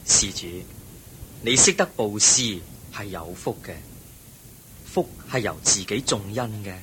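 An actor playing a serene, benevolent holy teacher in a radio drama, calm and measured, with a Hong Kong Cantonese accent. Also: male voice, poor audio. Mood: kindly, teaching. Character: serene, kindly Buddha, a revered teacher. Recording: old radio drama with faint rumble.

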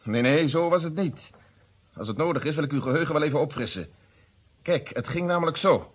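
An actor playing a stern, methodical police inspector in a radio drama, speaking in an insistent, precise and formal way. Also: male voice, a little high, lively intonation, brisk, breathy edge. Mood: confident, accusing. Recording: dull muffled old radio drama recording.

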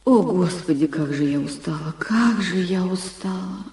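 A woman playing a weary, temperamental film star in her thirties in a Russian audio drama, with a sardonic, sharp-tongued manner. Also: lowish voice, measured pace, husky, soft background music, heavily acted. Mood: exhausted.

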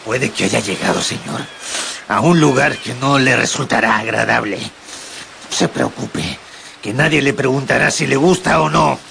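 An actor playing a stern, menacing constable with a gravelly voice in a radio drama. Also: male voice, mid-range voice, a little slow, pushed and bright, slight background noise, heavily acted. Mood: stern, threatening. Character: stern, menacing constable ordering a stranger along.

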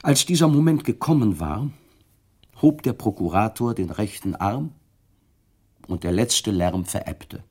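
A man's voice: fairly low pitch, animated, measured pace, a little mellow, breathy edge. A man narrating a radio drama in a dramatic, vivid storytelling manner.

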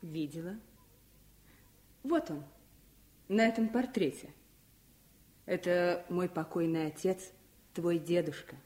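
Proud, lower-voiced mother. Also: slow pace, pauses often, darkish tone. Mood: proud, tender. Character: proud mother gently teaching her child about family. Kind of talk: Soviet radio play acting.

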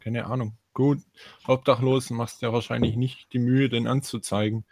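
A man's voice: mid-range voice, little pitch movement, moderate pace, a little mellow, a little hiss or hum. A man chatting casually on a panel show, hesitant and trailing off. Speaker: panelist on a live talk show. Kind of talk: casual chat.

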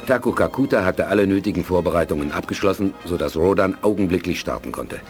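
A man's voice: deep voice, animated, fast talker, soft background music. A man delivering scripted narration for a radio play.

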